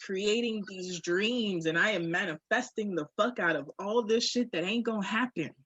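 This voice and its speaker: A woman's voice: medium pitch, normal speed, fairly bright tone, breathy edge, dry recording. A woman in candid, casual podcast conversation, frank and reflective, swearing freely. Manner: frank, uses profanity, reflective. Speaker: podcast co-host. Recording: dull, muffled lo-fi audio.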